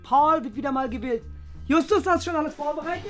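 Performer as sporty schoolboy, high-pitched, cocky, vain, teasing, boastful, children's comedy role-play. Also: quick pace, soft background music, lightly acted.

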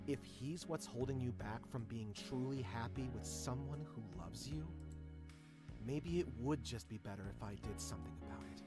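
Visual novel game role: male actor as ghostly children's entertainer, soft, coaxing, tenderly possessive, mid pitch, over music. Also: normal speed, natural voice. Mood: soothing, manipulative. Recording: music playing behind the voice.

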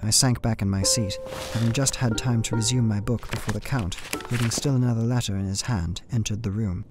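An actor playing an anxious young solicitor, reading his journal in formal, measured language for a radio play. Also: lowish voice, steady tone, natural voice. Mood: uneasy. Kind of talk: dramatic first-person narration, radio play. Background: music.

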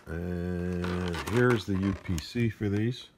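Grumbling man with a very low voice. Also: slow, darkish tone. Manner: grumbling, sarcastic. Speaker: unboxing vlogger. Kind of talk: casual off-the-cuff unboxing commentary. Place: indoors at a wooden desk. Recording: noisy handheld recording with handling clicks.

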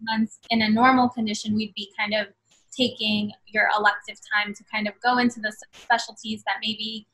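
Bright-voiced young woman on a video call. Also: medium pitch, little pitch movement, normal speed, poor audio. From Bangladesh, raised in New York City. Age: 20s. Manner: earnest, many fillers and hedges. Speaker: guest, medical student. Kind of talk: casual interview over video call. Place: small room at home. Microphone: video call webcam.

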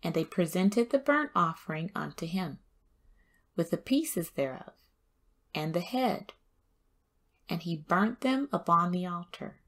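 Woman, lowish voice, slow pace, devotional scripture reading, deliberate phrasing, frequent pauses. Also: expressive, fairly bright tone. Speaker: host and scripture reader.

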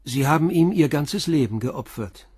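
A man's voice: a little high, expressive, moderate pace, a little mellow, husky, no echo, studio quality. An actor playing a stern, probing police inspector in a radio drama, pressing his questions bluntly. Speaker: police commissioner.

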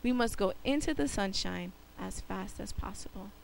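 A woman voicing a father who speaks calmly and kindly as he forgives his son. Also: medium pitch, moderate pace, creaky, slight background noise, lightly acted, poor audio. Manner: calm, kind.

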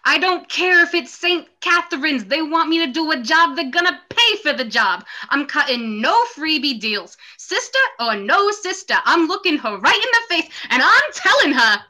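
Stern, skeptical plumber man read by a young woman, bright and high, very animated, emphatic script reading. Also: moderate pace, few pauses, full character voice. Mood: indignant, stubborn.

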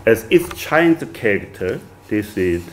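Middle-aged man, Korean accent, academic lecture, halting with fillers, lowish voice. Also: sing-song, slight background noise. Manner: many "uh" fillers, halting phrasing. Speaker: visiting lecturer. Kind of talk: academic lecture read from a manuscript. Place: wood-paneled reception room, indoors.

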